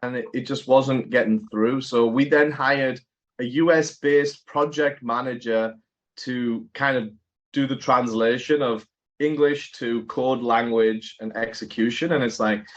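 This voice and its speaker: A man hosts a business interview, talking in a lively, conversational way and thinking out loud as he goes. His voice sits at a middling pitch, and the audio sounds a little dull and lo-fi. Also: a little slow. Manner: animated, conversational, thinks aloud. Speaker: podcast host. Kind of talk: casual interview chat.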